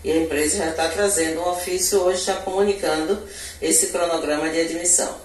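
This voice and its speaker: A middle-aged woman with a Bahian Brazilian accent, an education official answering interview questions in a measured, formal way into a handheld TV mic indoors. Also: on the low side, steady tone, far from the mic. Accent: Brazilian Portuguese, Bahia. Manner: measured bureaucratic explanation, occasional self-corrections. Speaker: municipal education secretary. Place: indoor office in front of a logo backdrop. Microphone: handheld TV reporter mic. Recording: echoey room, voice a bit off-mic.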